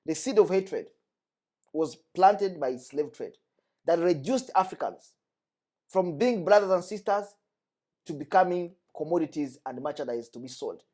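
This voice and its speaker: A man's voice: on the high side, animated, a little slow, warm tone. Passionate Congolese-born man, emphatic. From Democratic Republic of Congo. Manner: passionate, emphatic, repeats key phrases. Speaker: guest. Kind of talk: earnest discussion.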